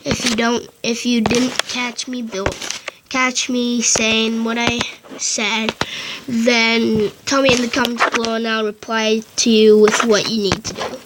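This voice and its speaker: A woman's voice: a little high, flat delivery. A woman narrating a casual game tutorial into a headphone mic, often stopping to correct herself. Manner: halting, frequent self-corrections and restarts. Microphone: headphones with built-in mic. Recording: loud background noise on headset mic.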